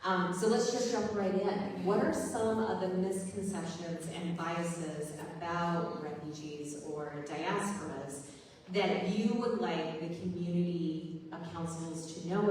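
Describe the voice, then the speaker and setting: A woman's voice: on the low side, darkish tone. A woman moderating a conference panel, friendly and self-deprecating as she introduces the speakers. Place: conference hall stage. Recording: roomy hall, distant mic, faint noise.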